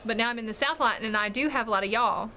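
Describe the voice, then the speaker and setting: Bright-voiced chatty woman, Chesapeake accent. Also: a little high, rapid-fire, slight background noise. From Washington, D.C. metro area. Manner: chatty, giggly, rambling. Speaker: vlogger. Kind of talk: casual chat to camera. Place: home in North Carolina.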